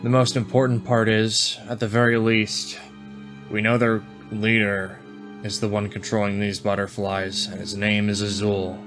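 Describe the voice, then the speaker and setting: A man's voice: fairly low pitch, level tone, moderate pace, music in the background. A man playing a tabletop roleplaying game, moving between describing his character and speaking as them.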